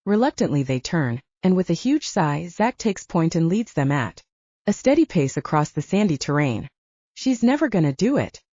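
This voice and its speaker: A woman's voice: low-pitched, big pitch swings, moderate pace, warm tone, no room sound, dull audio. A woman narrating dramatic fiction from a script as an audiobook reader.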